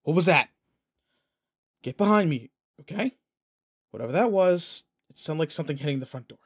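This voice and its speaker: A man acting in an audio roleplay as a protective, teasing, rough-edged but kind pretend boyfriend, talking intimately and casually. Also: a little high, lively intonation, normal speed. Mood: alarmed, angry.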